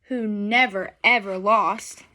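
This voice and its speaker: A woman's voice: mid-range voice, fairly even intonation, takes it slowly, sharp tone, a little hiss or hum. A young adult woman reading a picture book aloud expressively and putting questions to children in an instructional way. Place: small furnished room at home, indoors.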